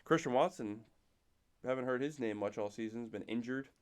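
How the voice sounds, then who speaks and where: A young man, hoarse and nasal from a cold, co-hosting a casual podcast and rattling off game stats. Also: mid-range voice, fairly quick, a little hiss or hum. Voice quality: raspy, nasal. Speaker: podcast co-host. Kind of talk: casual sports chat.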